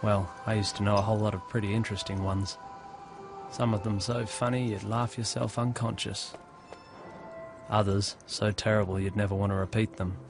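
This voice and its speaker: A man narrating an animated short film as a laid-back young man, recalling the past in an understated, conversational, wry way. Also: fairly low pitch, normal speed, over music, close to an everyday voice.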